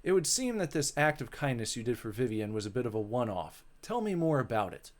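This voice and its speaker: A man voicing a stern, skeptical woman interviewer from a visual novel, measured and probing in manner. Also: medium pitch, very animated, natural voice. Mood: skeptical, reproving.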